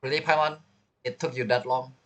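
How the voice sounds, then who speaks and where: A woman's voice: rumbling low, steady tone, brisk, fairly bright tone. A woman giving casual, teasing commentary as she reacts to a game. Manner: joking, teasing. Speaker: game streamer.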